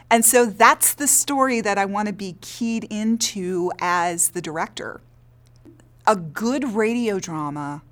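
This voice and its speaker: A woman's voice: mid-range voice, fairly bright tone. A woman theater director explaining her work in an earnest, reflective interview. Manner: earnest, explanatory, checks with "right?".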